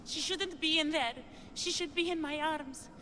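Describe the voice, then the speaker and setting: A woman's voice: high voice, fairly even intonation, normal speed, sharp tone, slight background noise. An actress playing a grieving young woman longing for her lost lover in a video game cutscene, speaking in an earnest, heartfelt way. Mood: sorrowful.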